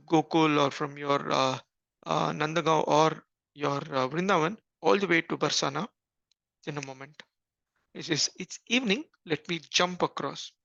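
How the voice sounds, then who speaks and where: A man giving an earnest scripture class, pausing often with fillers and restarts. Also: on the high side, gravelly, bright, forceful tone, no echo. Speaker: spiritual teacher. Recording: dull lo-fi recording.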